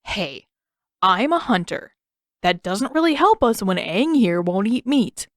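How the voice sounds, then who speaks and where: A sarcastic teenage older brother, acted out by a woman narrator, grumbles his way through a storybook scene. His pitch jumps around in exasperated, whiny complaint. The sound is dry and close. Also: sing-song, measured pace, no echo. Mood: grumbling.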